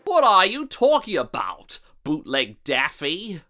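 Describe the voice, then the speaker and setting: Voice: medium pitch, wildly swooping pitch, slow pace, bright, forceful tone, soft background music. A video reviewer giving exasperated, mocking scripted commentary on game cutscenes.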